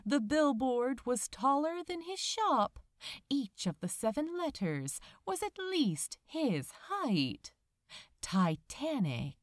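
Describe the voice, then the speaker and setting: A woman reading fiction aloud as a dramatic audiobook narration, with an expressive, lingering delivery. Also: high-pitched, takes it slowly, no room sound, studio quality.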